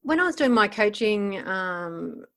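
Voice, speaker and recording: Interviewee: female, about 50, mid-pitched voice, informal and candid, thinking back over her own experience. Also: sing-song, a little slow. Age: around 50. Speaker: life coach and podcaster being interviewed. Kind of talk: reflective interview answers.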